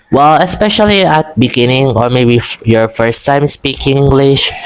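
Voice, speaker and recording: A man's voice: medium pitch, smooth, poor audio. A young man from the Philippines with a Filipino accent, chatting casually and encouragingly to camera about learning English, rambling with frequent restarts. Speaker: vlogger.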